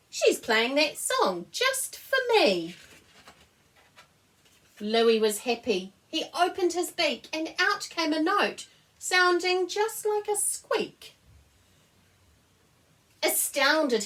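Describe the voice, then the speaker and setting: A woman reading a children's picture book aloud as an expressive storyteller. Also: sits high, distant mic, rough recording. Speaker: story reader.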